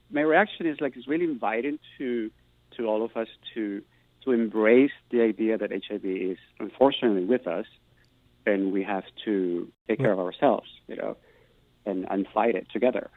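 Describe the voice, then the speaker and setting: A man's voice: on the low side, normal speed, dry recording, narrow, tinny audio. A middle-aged man from Peru, giving earnest, thoughtful interview answers and restarting words as he searches for them. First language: Spanish. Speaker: guest, Spanish professor.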